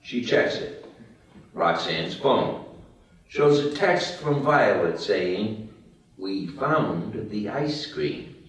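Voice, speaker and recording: A man's voice: on the low side, lively intonation, very slow, a bit bright. A man reading scripted screenplay stage directions as the narrator at a table read. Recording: very echoey room, distant mic.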